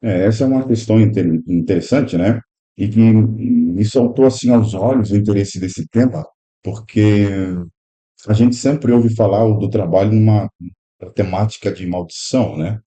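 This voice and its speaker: A man in his thirties with a very low, dark, mellow voice talks through biblical ideas at a relaxed, thoughtful pace. He speaks as a guest pastor and teacher in a casual podcast, piling up phrases and checking in with "né?". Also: normal speed. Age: 30s. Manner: reflective, explanatory, speaks in long run-on phrases with frequent "né?". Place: improvised room at a pastors' convention.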